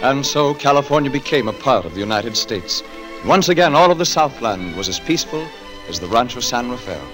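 A man's voice: mid-range voice, big pitch swings, fairly quick, music in the background, low-quality recording. A man reading scripted narration for a 1940s radio drama in a dramatic, declamatory manner.

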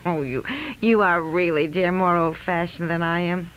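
An actress playing an amused, easygoing wife with a wry, playful manner in a radio comedy-drama. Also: female voice, on the low side, fairly even intonation, unhurried, lightly acted. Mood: wry, teasing. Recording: muffled, faintly noisy radio recording.

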